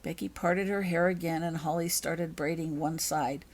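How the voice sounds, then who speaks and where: A woman with a fairly low voice narrates a story. She is an author reading scripted prose in audiobook style. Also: steady tone, normal speed, darkish tone.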